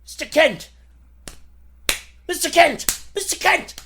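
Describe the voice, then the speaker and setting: A man playing a feeble, elderly, excitable scientist with a grandiose, formal delivery in a 1940s radio serial style. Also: very high-pitched, slow pace, background noise, exaggerated voice. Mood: alarmed. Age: older.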